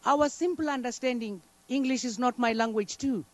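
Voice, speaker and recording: High-voiced delegate, man. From South Africa. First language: Xhosa. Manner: measured, deliberate, occasional fillers. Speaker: diplomatic delegate. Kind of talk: formal conference statement.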